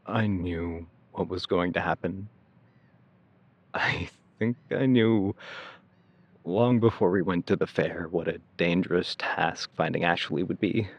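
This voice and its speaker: A man's voice: low voice, measured pace, husky, muffled recording, exaggerated voice. An actor playing a tender, anxious young man, a devoted musician, in an audio drama. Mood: somber narration. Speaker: lead actor in supernatural mystery audio drama. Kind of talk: audio drama acting and reflective narration.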